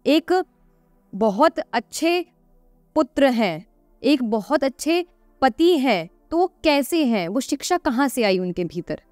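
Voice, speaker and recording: Woman podcast guest, high smooth voice, earnest n emphatic, repeats herself, dry close sound w faint music. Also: no echo. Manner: emphatic, repeats phrases and asks rhetorical questions. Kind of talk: earnest conversational explaining in an interview.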